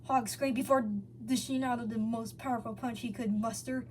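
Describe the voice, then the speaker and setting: A woman's voice: a little high, steady tone, moderate pace, a little mellow, a little hiss or hum. A woman reading fan fiction aloud as a narrator, sometimes stumbling over words and correcting herself. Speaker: story reader.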